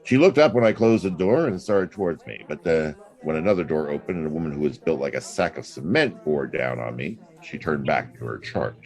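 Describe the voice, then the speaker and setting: An actor playing a wisecracking, flirtatious private detective in a hard-boiled audio drama, wry in both narration and dialogue. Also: male voice, extremely low pitch, lively intonation, brisk, few pauses, a little mellow. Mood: wry, narrating. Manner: wry, drawling asides with flirtatious endearments.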